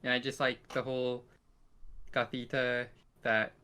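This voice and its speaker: A man's voice: mid-range voice, little pitch movement, unhurried, pushed and bright, clear, resonant tone. A man chatting casually as a podcast co-host, giving his opinions in an informal way. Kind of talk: casual chat about favourites.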